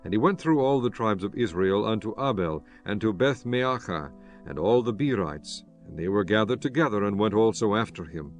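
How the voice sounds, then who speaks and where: Guy narrating the bible, lowish voice, solemn and measured, soft music behind. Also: lively intonation. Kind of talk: scripted scripture narration.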